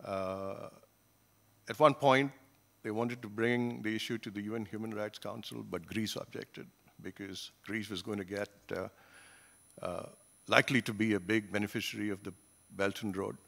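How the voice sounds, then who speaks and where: A man in his sixties from Singapore, speaking at a conference panel table into a gooseneck mic, measured, with frequent fillers and restarts. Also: fairly low pitch, steady tone, gravelly, a bit bright. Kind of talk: academic panel talk.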